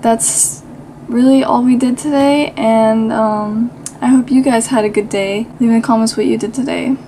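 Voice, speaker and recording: A woman's voice: a little high, steady tone, normal speed. A tired woman chatting casually to her vlog viewers. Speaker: vlogger. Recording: clear car and street noise.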